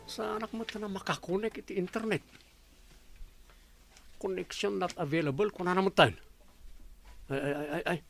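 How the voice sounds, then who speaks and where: A man's voice: high voice, normal speed, vocal fry, pauses often, soft background music. A man acting as a grumbling, jobless husband in an Ilocano comedy drama, whiny and demanding, with a Filipino accent. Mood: irritated, incredulous. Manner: whiny, complaining. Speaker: actor in an audio comedy drama.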